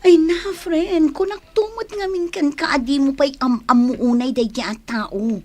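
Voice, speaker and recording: A woman's voice: high-pitched, unhurried, a little mellow, soft background music. Woman as a talkative young mother and friend in an Ilocano radio drama. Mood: sympathetic.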